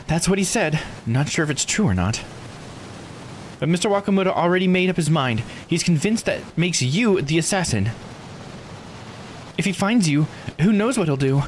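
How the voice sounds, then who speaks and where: A man voicing a calm, earnest, reassuring young woman, reading dialogue from a video game. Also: fairly high pitch, normal speed, pauses often, slight background noise, voice pushed up, close to an everyday voice. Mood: earnest, concerned.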